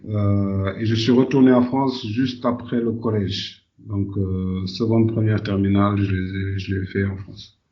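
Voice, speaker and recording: A man's voice: on the low side, steady tone, unhurried, dull audio. A man from Senegal answering conversationally as an interview guest, often repeating words as he searches for phrasing. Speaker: podcast guest, entrepreneur.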